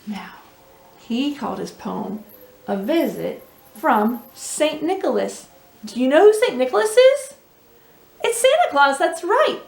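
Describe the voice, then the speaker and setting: A woman's voice: high voice, big pitch swings, unhurried, creaky, pauses often, slight background noise. A woman telling and reading a children's story expressively, often putting questions to her young listeners. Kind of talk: children's read-aloud storytelling. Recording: roomy, echoey indoor sound.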